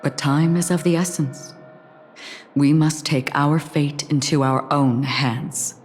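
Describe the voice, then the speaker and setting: Resolute game leader; low female voice, firm, formal. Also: steady tone, takes it slowly, slight background noise. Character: determined, principled leader woman. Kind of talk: video game voice acting. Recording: clear music underneath.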